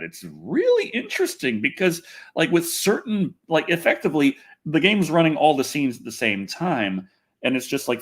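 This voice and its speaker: Animated, rambling man hosting a livestream. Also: medium pitch. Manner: rambling, animated, self-interrupting. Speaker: livestream host. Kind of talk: casual gaming and movie news livestream.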